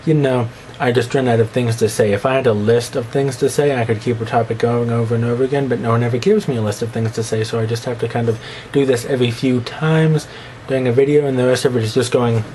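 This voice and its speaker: A man's voice: fairly even intonation, fast, a little mellow, rough recording. A young man with a slight lisp rambles to camera in a loose vlog, jumping between topics and mocking himself. His voice sits in an ordinary middle register, with a faint hiss behind it. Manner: rambling, self-mocking, breaks into squeaky put-on voices. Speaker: vlogger. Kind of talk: rambling casual monologue to camera.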